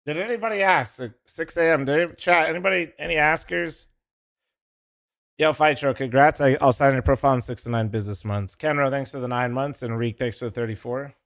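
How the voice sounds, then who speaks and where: A man's voice: mid-range voice, brisk, gravelly, a bit bright. A young man streaming live, chatting casually with his viewers and swearing as he teases a friend. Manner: profane, teasing, rambling. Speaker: streamer co-streaming an esports match. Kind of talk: casual livestream chat.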